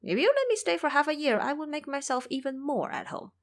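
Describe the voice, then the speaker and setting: A woman's voice: high-pitched, very animated, a little fast, voice pushed up. A woman voicing a brash, boastful, hideous monkey monk who catches monsters, in an audio drama. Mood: cheeky. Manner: boastful, cheeky.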